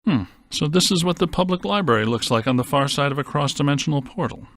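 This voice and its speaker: A man playing a brainy, deadpan scientist ghost hunter in a video game, with precise technical wording. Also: a little high, fast, vocal fry, dry recording. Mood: deadpan, analytical. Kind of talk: scripted video game voice acting.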